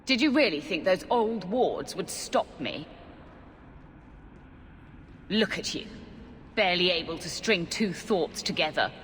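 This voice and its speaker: An actress playing a determined, haughty warrior commander in a fantasy game, stern, imperious and insistent. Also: female voice, lowish voice, lively intonation, a little slow, long pauses, fairly bright tone, slight background noise. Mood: defiant, scornful. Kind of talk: dramatic fantasy game acting. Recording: long stone-hall reverb.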